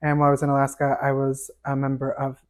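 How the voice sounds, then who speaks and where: A man with a fairly high, light voice, chatting as a guest on a podcast and telling stories with many fillers. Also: completely flat delivery, quick pace. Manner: chatty, storytelling with fillers and laughs. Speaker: podcast guest, chorus tenor. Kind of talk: casual interview chat.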